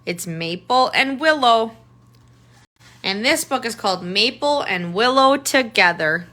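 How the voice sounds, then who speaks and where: A woman's voice: on the high side, expressive, unhurried, pushed and bright. A woman tutor reading a picture book aloud to children, adding simple explanatory asides and pointing things out. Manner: simple child-directed phrasing, pointing things out with questions and remarks.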